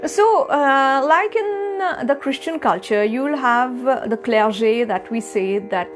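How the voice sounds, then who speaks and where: Mauritian lady priest giving a soft humble talk, lots of pauses, music going in the background. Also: sits high, animated. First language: Bhojpuri. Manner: humble, gentle, many hesitations. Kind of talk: bilingual spiritual teaching video. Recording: music playing clearly behind her.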